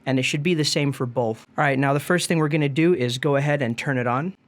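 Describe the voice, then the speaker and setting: A man's voice: mid-range voice, quick pace. A man presenting a music gear tutorial in an easygoing, informal step-by-step way.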